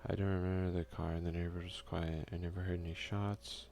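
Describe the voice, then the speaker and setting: A soft-spoken man doing an ASMR let's play, reading game text aloud and commenting in a hushed voice that at times slips into a whisper. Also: deep voice, level tone, quick pace, vocal fry. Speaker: gaming video creator and player.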